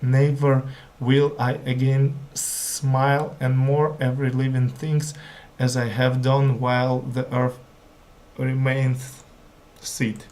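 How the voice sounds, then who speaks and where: A man's voice: mid-range voice, little pitch movement, takes it slowly, a little mellow, a little hiss or hum, rough recording. A man who is not a native English speaker reads scripture aloud as a learner, hesitating, stumbling and repeating words. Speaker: language learner. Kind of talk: halting read-aloud of scripture.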